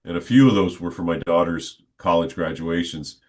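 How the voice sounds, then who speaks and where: Deep-voiced middle-aged man, easygoing storyteller. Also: normal speed, a little mellow. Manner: good-humored, reflective, talks at length. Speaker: stadium announcer guest. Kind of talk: casual storytelling in an interview.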